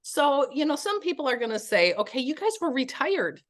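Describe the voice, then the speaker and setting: A woman's voice: high-pitched, sing-song, a little fast. A woman hosting an interview on a video call, upbeat, chatty and encouraging toward her guests. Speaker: host.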